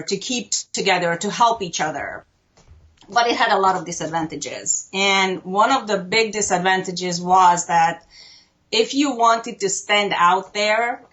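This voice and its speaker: A woman's voice: medium pitch, fairly bright tone, muffled recording. A woman in her fifties from Hungary, a podcast guest talking casually and earnestly, laughing easily. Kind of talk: casual interview chat.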